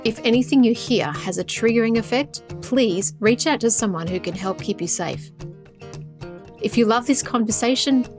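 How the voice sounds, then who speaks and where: A woman hosting a podcast, earnest and gentle as she introduces and encourages her guest. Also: fairly high pitch, moderate pace, faint music behind. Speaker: podcast host.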